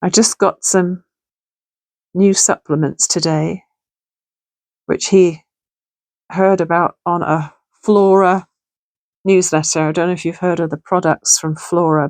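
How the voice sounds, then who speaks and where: A middle-aged woman with a gravelly, hoarse voice, chatting casually and thinking aloud as she makes something at home. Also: lowish voice, fairly even intonation, a little slow, pauses often, darkish tone, no room sound. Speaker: crafting channel host. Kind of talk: casual crafting tutorial chat. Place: home sewing room.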